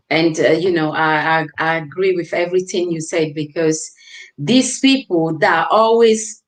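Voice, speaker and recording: A woman's voice: lowish voice, rough recording. A woman hosting a live call-in discussion, speaking emphatically and pleading, agreeing with her guest. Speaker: talk show host.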